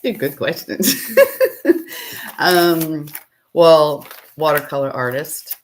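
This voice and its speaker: A middle-aged woman with a low voice, chatting casually and laughing often as she answers viewers' questions on a live stream. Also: takes it slowly, slight background noise. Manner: relaxed, laughs often, thinks aloud while working. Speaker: potter and channel host.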